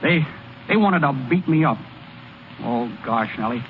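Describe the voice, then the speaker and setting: A man playing a naive, folksy American baseball pitcher who stammers in ungrammatical speech, in old-time radio drama. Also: expressive, a little slow, phone-quality sound, close to an everyday voice. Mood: distraught. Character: naive, boastful-turned-dejected hayseed male baseball pitcher. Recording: thin, noisy vintage radio broadcast recording.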